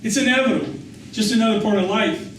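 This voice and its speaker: A man's voice: sits high, quick pace, fairly bright tone, voice pushed up. A man reading aloud as a stoic farmer father, folksy and emphatic, telling cautionary tales with rhetorical questions. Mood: wry, instructive. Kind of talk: acted quote within a literary reading. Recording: echoey room, distant mic, faint noise.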